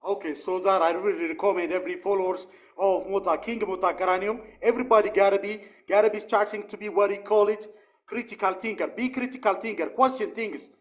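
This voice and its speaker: A man's voice: high-pitched, fairly even intonation, a little fast. A man, a caller urging listeners in a pleading, repetitive way.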